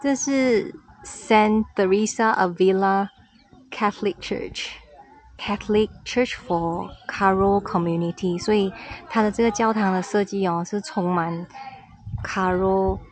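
A woman's voice: mid-range voice, fairly even intonation, a little slow, poor audio. A Malaysian woman narrating her motorcycle travel vlog in a reflective, chatty way, outdoors in a village. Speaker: motorcycle vlogger. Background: music.

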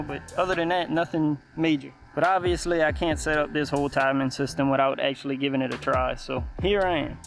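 A man from the United States, giving casual vlog commentary as a hobbyist. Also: on the high side. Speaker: hobby RC car vlogger.

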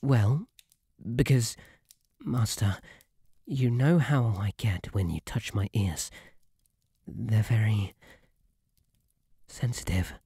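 A man with a British accent playing a shy, devoted, submissive bunny butler in an intimate ASMR roleplay, softly spoken with a formal, deferential, faltering manner. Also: lowish voice, takes it slowly, slightly rough, dry recording, studio quality, heavily acted. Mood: embarrassed, shy. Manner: flustered, deferential, formal, stammering. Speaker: roleplay audio performer. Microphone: close, dry microphone.

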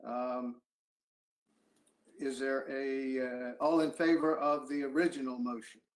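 A man chairing a formal meeting over a video call, speaking haltingly and hesitantly. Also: medium pitch, level tone. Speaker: council chairman running a meeting. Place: indoors at home or office on a video call.

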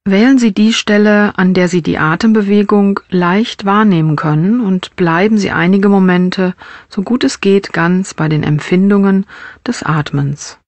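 A woman leads a guided meditation in the manner of a mindfulness teacher. Her voice sits at a medium pitch and her phrasing is gentle and measured. The recording is dry and close to the microphone. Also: no echo. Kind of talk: guided body scan meditation narration.

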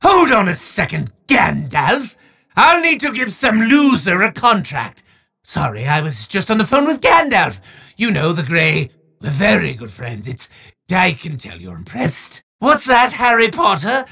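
An actor playing a bombastic, boastful evil wizard in a video game, with a raspy voice and theatrical, drawn-out proclamations that break into shouts. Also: male voice, high-pitched, huge pitch swings, heavily acted. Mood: smug, showy. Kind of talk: video game villain voice acting.

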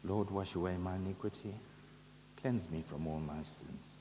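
A man in his seventies, a priest saying Mass prayers in a measured, solemn way. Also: low voice. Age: 70s. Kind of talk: liturgical prayer. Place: large brick chapel, at altar and lectern. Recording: echoey chapel with long reverb, background noise.

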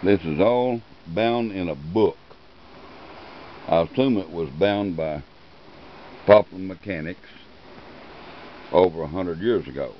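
A man with a very deep voice talks in a relaxed, plainspoken way as he films an old book at a desk. The audio is dull and lo-fi from the camera's own mic, with a faint hiss underneath. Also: slow pace, long pauses. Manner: relaxed, plainspoken, offhand remarks. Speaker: collector showing an old book. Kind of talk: casual off-camera show-and-tell. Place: indoors at a desk.

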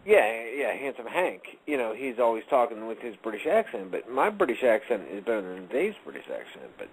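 A man with a gravelly, husky voice co-hosts a loose radio chat show. His pitch swings a lot as he rambles and jokes through his stories. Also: brisk, rough recording. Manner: rambling, jokey, animated storyteller. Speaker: co-host.

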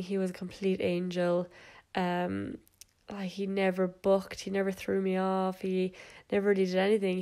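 A woman's voice: medium pitch, mellow. A young woman, stuffed up and nasal from a cold, casually narrating videos of her horse. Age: young adult. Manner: casual, chatty, with fillers and little laughs. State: congested with a cold. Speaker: equestrian vlogger.

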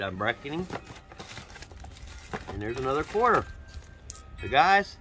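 A middle-aged man with a Western Pennsylvania accent, chatting folksily to viewers as he sorts through a storage unit. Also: a little high. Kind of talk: casual unboxing commentary. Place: cluttered storage unit, indoors.

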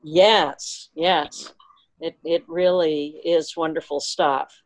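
A woman's voice: low-pitched, fairly even intonation, slow pace, dry recording. An older woman chatting casually on a video call as she helps run an online meeting. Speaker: meeting organizer.

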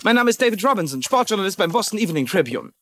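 An actor playing an insolent, needling, insistent sports journalist in a TV crime drama dub. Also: male voice, high voice, sing-song, rapid-fire, pushed and bright, a little hiss or hum, exaggerated voice. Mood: pushy. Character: pushy, insolent male sports reporter. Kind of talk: German-dubbed TV crime drama.

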